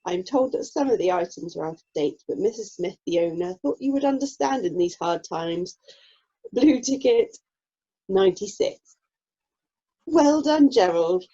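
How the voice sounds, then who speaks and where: A woman's voice: medium pitch, expressive, unhurried, mellow, husky. A woman playing a wry, officious drama society announcer with a British accent and dry delivery, in a radio play. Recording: dull online call audio.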